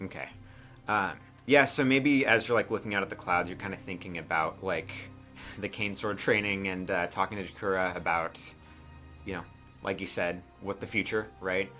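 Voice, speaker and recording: A man's voice: deep voice, normal speed, sharp tone, faint music behind. A man acting as game master, casually narrating a tabletop game and talking directly to his players in a hesitant, conversational way.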